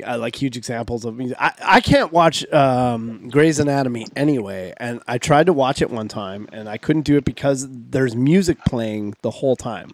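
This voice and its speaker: A man's voice: mid-range voice, expressive, no room sound. Teasing man with a dry, close voice. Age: 30s. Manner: joking, quick to laugh, teasing. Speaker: podcast co-host. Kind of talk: casual podcast chat.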